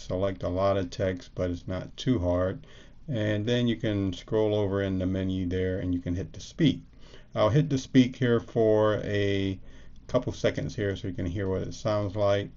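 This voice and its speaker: A man's voice: on the low side, mellow, dull audio. A man presenting a casual step-by-step tech tutorial as a screencast.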